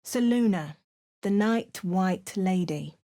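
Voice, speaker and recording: A young woman voicing a game character with wry, measured first-person narration. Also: mid-range voice, dark tone.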